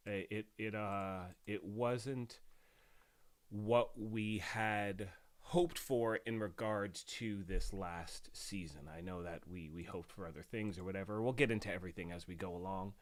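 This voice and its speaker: A man with a fairly low voice chats loosely on a gaming live stream, greeting viewers by name and circling back over his own words. He is getting over a cold, so his voice comes out slightly raspy. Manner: chatty, greets viewers by name, rambling restarts.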